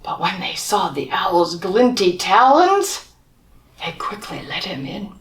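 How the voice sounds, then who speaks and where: A woman reading a children's storybook aloud in an expressive way, as if to young listeners. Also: mid-range voice, takes it slowly, recorded from a distance. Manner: expressive, asks listeners questions, drops to whispers. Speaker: storybook reader. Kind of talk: children's picture book read-aloud.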